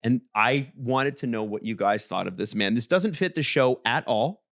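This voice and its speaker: Booming-voiced Canadian man, casual host. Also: moderate pace, vocal fry, no room sound, clean studio sound. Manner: booming voice, animated storyteller. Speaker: podcast co-host. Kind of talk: casual chat.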